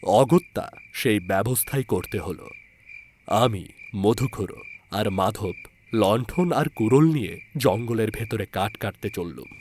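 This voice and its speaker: A man narrating a horror story in a dramatic, measured and suspenseful manner. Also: on the low side, expressive, darkish tone, a little hiss or hum.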